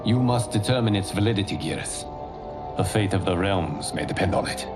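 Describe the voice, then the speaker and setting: A stern, wise guardian god. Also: male voice, deep voice, animated. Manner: measured, commanding. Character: calm male protector god, stern and wise. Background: orchestral game music.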